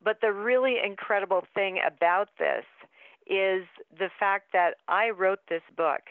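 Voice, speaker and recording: A woman author speaks earnestly and with emphasis as a guest over the phone. Her voice is smooth and clear at a middle pitch. The line is narrow and tinny, and the sound is dry. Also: a little slow, dry recording. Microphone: telephone.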